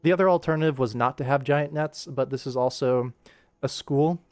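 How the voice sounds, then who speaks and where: A young man with a mid-pitched voice hosts a casual work livestream. He rambles and thinks out loud in a playful, informal way, with music playing behind him. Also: moderate pace, dry recording. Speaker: livestream host and animator. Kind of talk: casual livestream chat while working.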